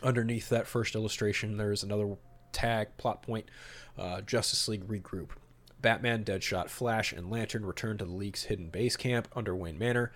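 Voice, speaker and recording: A man hosts a podcast in a fairly low voice. He shifts from reading out summaries to casual, opinionated commentary, stressing his points emphatically and swearing mildly. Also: steady tone, normal speed, a bit bright. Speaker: podcast host. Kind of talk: podcast talk mixing read-out storyboard summary and casual commentary.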